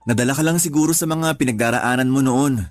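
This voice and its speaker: A man's voice: mid-range voice, quick pace, natural voice. Man acting supportive younger brother in radio drama. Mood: reassuring, earnest. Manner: earnest, reassuring, lightly teasing. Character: supportive, teasing younger brother, encouraging and devoted. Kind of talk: radio drama dialogue, conversational. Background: background music.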